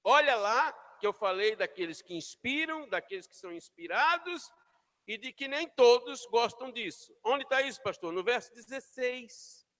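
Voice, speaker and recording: A pastor preaching a sermon in a church, shouting then dropping to near whispers as he calls the congregation to repeat after him. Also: very high voice, lively intonation, normal speed. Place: church hall. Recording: long hall reverb.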